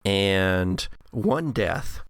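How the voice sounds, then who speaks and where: Casting: male game master narrating horror role-play, lowish voice with fry, recorded close and dry. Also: expressive, extremely slow, no echo.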